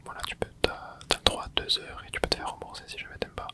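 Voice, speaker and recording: An ASMR creator giving soft, whispered commentary while playing a video game, rambling and hesitant with lots of fillers and restarts. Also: fast. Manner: soft unvoiced whisper throughout.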